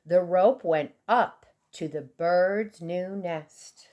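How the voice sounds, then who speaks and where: A woman reading a children's storybook aloud expressively, with deliberate, dramatic emphasis for young listeners. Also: fairly low pitch, warm tone. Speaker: storybook reader.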